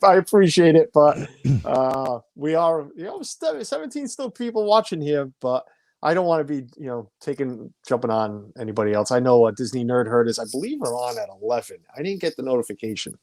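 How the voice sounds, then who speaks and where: A man's voice: mid-range voice, big pitch swings, normal speed, a little mellow, dry recording. A man in his forties hosting a casual live stream from home, chatty, stumbling over names and reading viewer comments aloud. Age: 40s. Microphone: home streaming setup.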